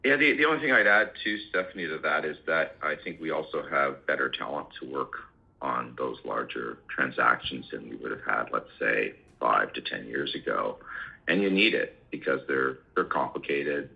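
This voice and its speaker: A man answering questions as an executive on an earnings call, halting and repeating words as he talks. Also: a bass voice, lively intonation, gravelly. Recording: muffled conference call audio.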